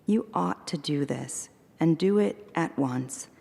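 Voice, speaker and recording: Woman, poet, low gravelly voice, slow measured recitation, live reading, long hall reverb. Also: animated, warm tone. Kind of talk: poetry reading. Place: performing arts center hall.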